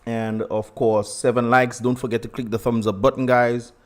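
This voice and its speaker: A man's voice: mid-range voice, little pitch movement, normal speed. A young man with a Dominican accent hosting a casual livestream, chatty and laughing often as he greets viewers. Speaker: livestream host.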